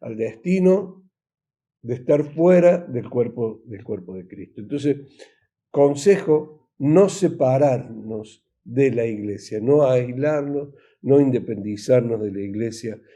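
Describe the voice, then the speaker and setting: Male pastor, Argentine accent, very mellow tone, slow halting delivery, informal preaching. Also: medium pitch. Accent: Argentine Spanish. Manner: halting, repetitive, with rhetorical questions. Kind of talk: informal recorded sermon.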